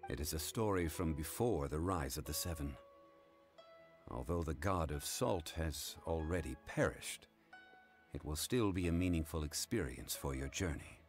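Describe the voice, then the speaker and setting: An actor playing a composed, scholarly gentleman consultant in an anime game, speaking formally and with measured gravity. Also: rumbling low, expressive, faint music behind. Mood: courteous, calm.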